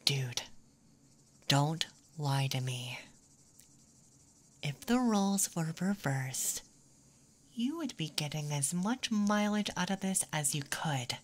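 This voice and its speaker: Low, slow, teasing young tomboy played by a woman. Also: expressive, full character voice. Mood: playful teasing. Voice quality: soft, breathy, sometimes whispering. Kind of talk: intimate ASMR roleplay. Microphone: close microphone.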